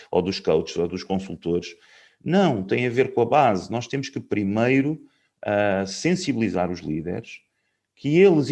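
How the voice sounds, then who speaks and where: A man's voice: fairly low pitch, gravelly, a little mellow. A man in his fifties hosting a casual conversation from home, explaining his views at length with many fillers. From Portugal. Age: 50s. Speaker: host.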